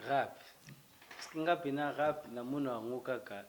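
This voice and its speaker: A man's voice: mid-range voice, moderate pace, background noise. A man interviewing a rapper in a loose, chatty way. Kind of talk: casual interview questions.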